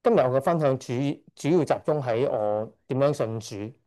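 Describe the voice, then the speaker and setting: A middle-aged man from Hong Kong speaks at a church service with a mellow, warm voice. He is hesitant, stops often to correct himself and lets out a shy chuckle now and then. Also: mid-range voice, a little fast. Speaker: church member giving testimony. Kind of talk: church testimony speech.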